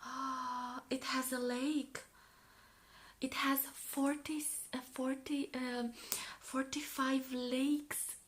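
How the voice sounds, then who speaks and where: A woman from Romania with a Romanian accent, speaking softly in an ASMR style and often slipping into a whisper, musing and repeating short phrases. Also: high-pitched, little pitch movement, drawn-out words, slight background noise. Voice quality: soft, often breaking into whispers. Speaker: ASMR video creator reviewing a magazine. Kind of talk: soft-spoken ASMR.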